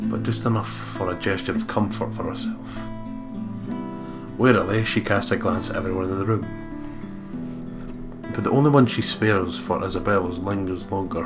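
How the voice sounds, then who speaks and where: A man doing a let's play, reading game narration aloud and commenting casually, with guitar music behind him. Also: deep voice. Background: game soundtrack with guitar.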